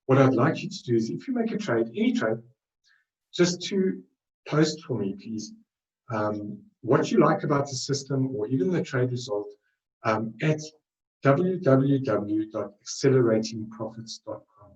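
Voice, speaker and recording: A man's voice: mid-range voice, animated, unhurried, a little mellow, roomy sound, distant mic, highs cut off. A man with a South African accent presenting a trading webinar, rambling loosely and correcting himself as he goes. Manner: loose, rambling delivery with frequent self-corrections.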